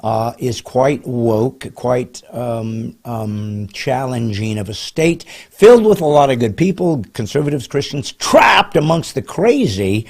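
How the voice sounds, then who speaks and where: A man's voice: animated, unhurried, creaky, few pauses. A middle-aged man hosting a comedy podcast, joking with sarcasm and a theatrical manner. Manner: wisecracking, theatrical and sarcastic, with big swings in pitch and loudness.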